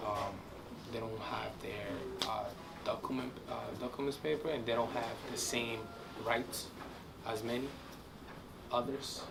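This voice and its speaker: A man, a student, answering interview questions haltingly, searching for words. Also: medium pitch, gravelly. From Dominican Republic. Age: 20s. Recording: distant mic with street noise.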